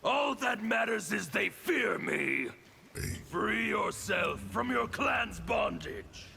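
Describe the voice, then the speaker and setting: A man voicing a fanatical, defiant revolutionary warrior in a video game, with a gravelly, menacing, declamatory delivery. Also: high voice, animated, slow pace, bright, forceful tone, a little hiss or hum, heavily acted. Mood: fervent, defiant. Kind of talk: video game character dialogue.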